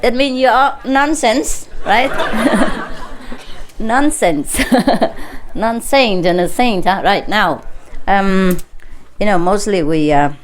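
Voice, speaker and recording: A woman's voice: mid-range voice, slow pace, a faint crowd. A woman with a Vietnamese accent speaking informally to a gathering as a spiritual teacher, playful and teasing, often laughing as she talks. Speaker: spiritual teacher addressing followers. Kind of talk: informal talk with joking banter to an audience. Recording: clear background noise, live gathering.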